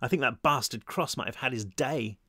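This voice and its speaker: A man's voice: medium pitch, normal speed, bright, forceful tone, slightly rough, no echo, studio quality. A man voicing a wounded, weary teenage hero in an audiobook, with a drained, sardonic manner. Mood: weary, scornful.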